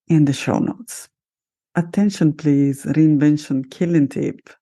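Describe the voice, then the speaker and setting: Low, gravelly Italian-accented woman host. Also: warm tone. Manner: upbeat, self-celebrating, talks straight to listeners. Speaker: podcast host and life coach. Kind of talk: solo podcast monologue.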